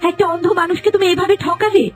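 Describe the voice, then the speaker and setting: A woman's voice: very high voice, steady tone, racing. A woman playing an exasperated, scolding village wife in a cartoon. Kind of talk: Bengali cartoon dubbing. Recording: music under the voice.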